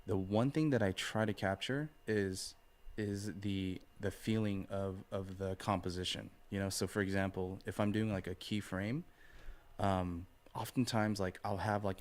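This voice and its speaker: A man's voice: lowish voice, fairly even intonation, moderate pace. A man speaking as a guest artist in a casual podcast interview, thoughtful and hesitant, repeating phrases and checking in with "right" and "you know". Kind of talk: casual podcast interview, explaining art process.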